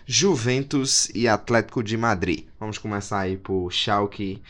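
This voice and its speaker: A man's voice: lowish voice, a little slow, fairly bright tone. A man with a Brazilian accent hosting a football podcast in a casual, conversational way.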